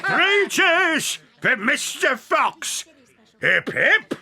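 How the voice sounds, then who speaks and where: A man playing an excitable, merry animal who exclaims ceremoniously in a dramatized children's audiobook. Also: extremely high pitch, very slow, vocal fry, heavily acted. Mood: cheering. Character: excitable, merry male animal toasting his friend. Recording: crowd reacting behind the voice.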